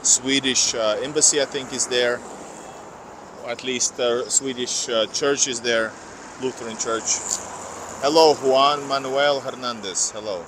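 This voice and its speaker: A man's voice: mid-range voice, fairly even intonation, measured pace. A man from Finland with a slight Finnish accent, livestreaming a walking tour and rambling to viewers in chat. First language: Finnish or Russian. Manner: rambling, self-correcting, reads out viewer comments. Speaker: vlogger. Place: outdoor city streets. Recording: noisy outdoor street with traffic.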